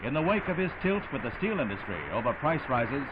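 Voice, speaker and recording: A man's voice: medium pitch, very animated, quick pace, very noisy. A man narrating a 1960s newsreel in a formal, declamatory announcer's style. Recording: phone-like vintage 1960s newsreel soundtrack.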